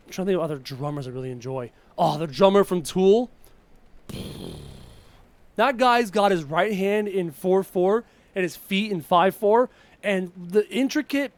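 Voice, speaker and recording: A man's voice: high voice, no room sound. A young Canadian man with a slight cough chats casually during a live gaming stream, reading out viewer questions and getting frustrated with the game. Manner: chatty, reads viewer questions aloud, frustrated outbursts at the game. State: sick with a cough. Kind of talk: casual live-stream chat while playing.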